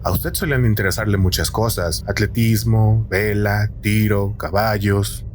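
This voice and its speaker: A man's voice: lowish voice, fairly even intonation, a little slow, slight background noise, voice pushed down, close to an everyday voice. A man voicing an earnest, polite tradesman who speaks courteously and insistently, in an audio story with music behind. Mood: probing, concerned. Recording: light music behind the reading.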